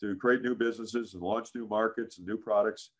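A man in his sixties with a deep voice, a guest in a casual interview, telling career stories with many "frankly"s and "uh"s. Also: a little fast. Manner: frequent "frankly" and "uh", animated anecdotes. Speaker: interview guest, business founder.

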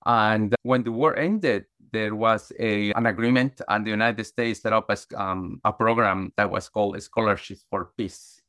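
Low-voiced Guatemalan-born man telling his story. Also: fairly even intonation, a bit bright, dry recording, clean studio sound. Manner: earnest, halting with restarts and fillers. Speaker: podcast guest. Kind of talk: conversational storytelling.

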